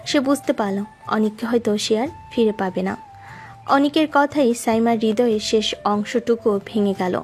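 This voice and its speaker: A woman's voice: on the high side, a little mellow. A woman narrating a scripted romantic story in a dramatic storytelling style. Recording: music behind the voice.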